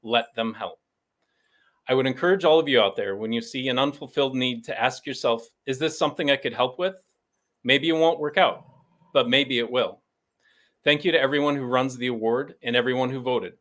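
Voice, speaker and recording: A man's voice: medium pitch, brisk, fairly bright tone. A man giving a prepared acceptance speech as an award recipient, plainspoken and encouraging.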